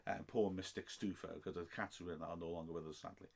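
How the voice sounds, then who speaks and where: A man's voice: low voice, fairly even intonation, fast, creaky, slightly rough. A middle-aged man with a Welsh accent, a football commentator chatting casually and self-deprecatingly through listener questions on a podcast. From Wales. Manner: chatty and self-deprecating, with frequent ums and restarts.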